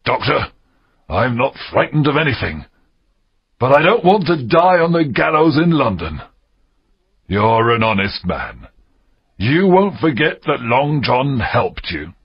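A man voicing a loud, wily pirate leader in an audiobook, with emphatic, swooping delivery. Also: mid-range voice, big pitch swings, a little slow, gravelly, pauses often, slightly rough, highs cut off, voice pushed up, heavily acted. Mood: pleading. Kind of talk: audiobook character acting.

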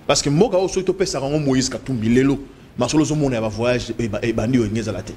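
A man's voice: quick pace, darkish tone, a little hiss or hum. A middle-aged man with a Congolese French accent, debating emphatically in a TV studio at a desk mic, mixing French and Lingala. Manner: emphatic, gesturing, swinging pitch, switching between French and Lingala. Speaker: political debate guest. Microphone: desk microphone.

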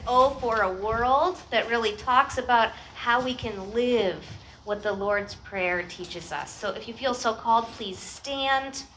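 A woman's voice: medium pitch, sharp tone, rough recording. American woman preaching outdoors over ambient noise. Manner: earnest, informal, rhetorical questions. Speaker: pastor. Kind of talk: conversational sermon. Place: outdoor church service in a garden. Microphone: headset microphone.

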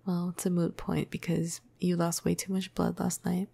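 A woman playing a flirty, cuddly vampire crush in a breathy, casual ASMR roleplay, half-whispering close to the microphone. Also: on the low side, level tone, normal speed, dark tone, dry recording, exaggerated voice. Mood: flirty, fond. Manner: casual, playful, hesitant, breathy murmur.

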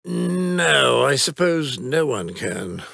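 A man's voice: medium pitch, big pitch swings, very slow, gravelly, bright, forceful tone, no room sound, studio quality, heavily acted. An actor playing a formal, wry older British butler who politely disapproves of his criminal employers in a video game. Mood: dry wit. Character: dry, fussy older gentleman butler of a criminal gang. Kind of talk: video game character voice lines.

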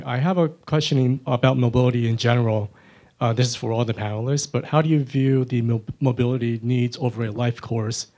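A man in an audience putting an informal question to a panel, in a halting, rambling way. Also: mid-range voice, mellow. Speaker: audience member asking a question. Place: indoor auditorium audience.

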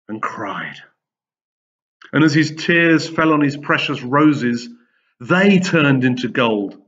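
A middle-aged man tells a children's story in a fairly high, swooping voice. He takes his time, leaning on key words with dramatic pauses. The sound is a little dull and lo-fi. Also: a bit bright. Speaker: storyteller reading a Greek myth for pupils. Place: large indoor room with high wooden ceiling.

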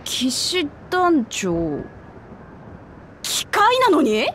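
An actress playing a bold young lion-woman warrior in a video game, with blunt, tomboyish, rough casual speech. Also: high-pitched, huge pitch swings, drawn-out words, slight background noise, exaggerated voice. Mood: puzzled. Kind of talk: video game cutscene acting.

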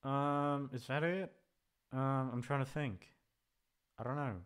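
A man's voice: medium pitch, steady tone, takes it slowly, smooth. A man chatting casually in a rambling, hesitant way, swearing freely as he goes. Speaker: ASMR voice actor, channel creator. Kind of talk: rambling casual farewell chat.

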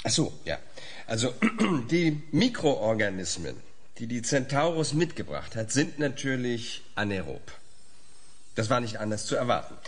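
An actor in a German audio drama playing an eager, touchy professor of science with a pompous manner. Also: male voice, mid-range voice, very animated, unhurried. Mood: flustered.

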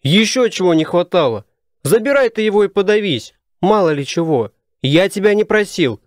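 A rude, sulky boy in his teens for a comic audio play. The voice is high, very lively in pitch and closely recorded, full of grumbling indignation. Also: normal speed, no echo, studio quality. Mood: rude, defiant. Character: sulky, rude teenage boy, youngest of family.

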